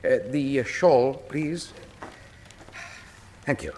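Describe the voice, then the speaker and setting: A man's voice: medium pitch, very slow, warm tone, slight background noise. A man playing a shrewd, commanding detective in a radio drama, with formal, precise diction and a superior but affectionate manner. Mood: brisk.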